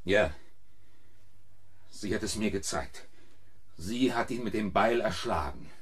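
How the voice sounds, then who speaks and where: A man's voice: on the low side, lively intonation, takes it slowly, a bit bright, exaggerated voice. An actor playing an agitated, indignant accused man in a German radio thriller, stammering and protesting insistently. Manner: stammering, outbursts sinking to subdued protest.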